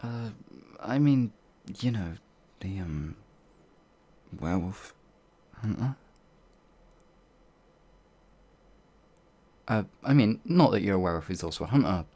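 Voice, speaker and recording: A man's voice: fairly low pitch, lively intonation, moderate pace, warm tone. A man playing a timid, apologetic werewolf farmhand in an audio roleplay, hesitant and rambling, stammering as he goes. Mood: nervous, apologetic. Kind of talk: ASMR audio roleplay monologue.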